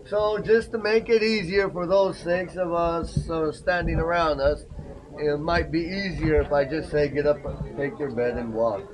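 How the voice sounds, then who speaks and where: A man guiding a tour group through ruins outdoors, telling the story in a lively way and asking the group questions. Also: on the high side, unhurried, poor audio. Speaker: tour guide. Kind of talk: guided site tour explanation. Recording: outdoor crowd chatter behind.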